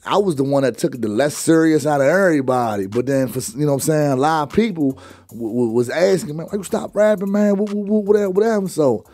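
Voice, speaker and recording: A man's voice: fairly high pitch, quick pace, mellow, no echo, faint music behind. A young man from Afghanistan, a rapper guest, telling stories in loose slangy talk full of fillers, close on a studio mic. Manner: laid-back slangy storytelling, many fillers. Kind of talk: casual interview talk. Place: radio studio with branded backdrop. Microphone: studio mic close up, headphones on.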